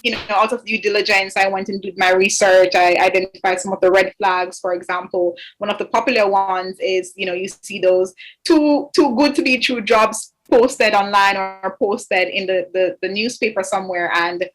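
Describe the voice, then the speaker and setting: A woman's voice: medium pitch, steady tone, normal speed, poor audio. A woman in her twenties from Jamaica, a guest in an interview, speaking earnestly with frequent fillers and restarts. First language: English. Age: 20s. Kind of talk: interview conversation.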